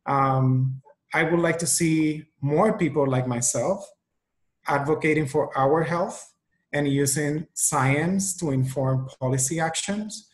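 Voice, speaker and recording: A man from Puerto Rico, Spanish his first language, answers panel questions in a fairly high voice. He talks over a video call and thinks aloud as he goes, pausing and restarting. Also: low-quality recording. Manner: thoughtful, with frequent ums and restarts. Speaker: researcher on a webinar panel.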